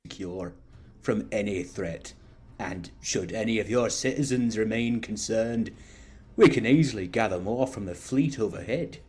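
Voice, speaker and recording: A man voicing a courteous, condescending alien diplomat who speaks in measured, veiled threats for an audio drama. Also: fairly low pitch, a little mellow, husky, pitched below the performer's own voice. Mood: polite menace. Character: polite, smugly menacing male alien diplomat, condescending.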